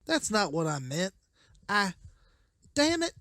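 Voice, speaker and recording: A man's voice: high-pitched, very animated, slow, bright, forceful tone, no room sound, studio quality, full character voice. A man voicing a distraught, weary survivor and father in a motion comic, with strained emotion. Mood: anguished, loving. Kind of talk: comic book voice acting.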